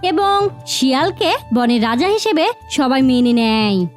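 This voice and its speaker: A woman narrating a scripted fairy tale as an expressive storyteller. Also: high-pitched, a little slow, faint music behind.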